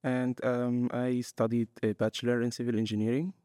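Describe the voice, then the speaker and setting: A man's voice: medium pitch, little pitch movement, moderate pace, mellow, no room sound, studio quality. A young man from Egypt, a master's student guest earnestly explaining his choices step by step in a podcast interview. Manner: earnest, explains step by step in non-native English.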